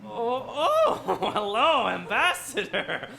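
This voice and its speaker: An actor playing an officious, blustering space-fleet admiral with a gravelly voice, recorded live in a stage comedy. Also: medium pitch, lively intonation, slow, a crowd in the background, exaggerated voice. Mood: flustered, fawning. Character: flustered, pompous military admiral turning fawning. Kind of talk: musical comedy dialogue.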